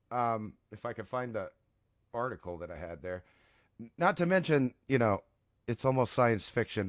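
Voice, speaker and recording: Male co-host, medium pitch, casual rambling discussion, dry room-free sound. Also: dry recording.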